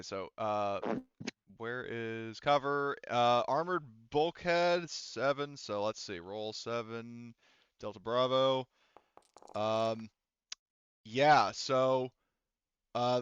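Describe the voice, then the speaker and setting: A man with a clear, smooth voice runs a tabletop game as game master. He talks casually and haltingly as he works out the rules. The sound is very dry and slightly dull. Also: mid-range voice, a bit bright, no room sound. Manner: halting, thinking aloud, casually profane.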